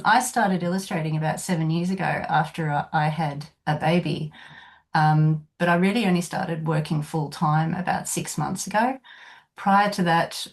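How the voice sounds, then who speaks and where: An Australian woman with a very low, gravelly voice introducing herself on a video call. She talks in a chatty, personal way, with light laughs. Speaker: illustrator guest.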